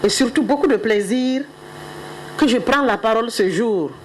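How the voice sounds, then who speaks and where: A middle-aged woman speaking on behalf of an official, giving a solemn, measured ceremonial address. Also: on the high side, slight background noise, low-quality recording. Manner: measured, solemn, stately delivery.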